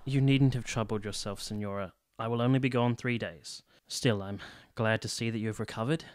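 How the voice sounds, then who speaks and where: An actor playing an uneasy, stern young army captain in a period radio drama, formal and clipped, in a roomy sound. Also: male voice, lowish voice, steady tone, fairly quick, smooth, natural voice. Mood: polite, wary.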